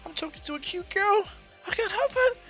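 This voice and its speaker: A man voicing a nervous, earnest teenage boy hero in an anime story, halting and flustered. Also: very high voice, lively intonation, pushed and bright, faint music behind, pitched above the performer's own voice, full character voice.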